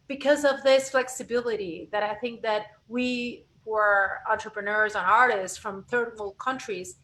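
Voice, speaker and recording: A woman from Argentina, speaking as a guest voice coach in a lively interview, restarting phrases as she talks. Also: on the high side, low-quality recording. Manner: animated, expressive, frequent self-corrections. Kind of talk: conversational interview.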